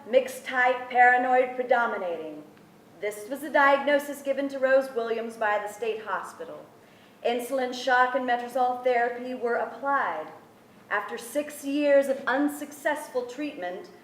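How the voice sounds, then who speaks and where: Gentle young sister-narrator actress, careful delivery, slightly high voice, distant in a reverberant theatre. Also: female voice, lightly acted. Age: young adult. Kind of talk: dramatic staged reading. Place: theatre stage before an audience. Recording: echoey theatre, distant microphone.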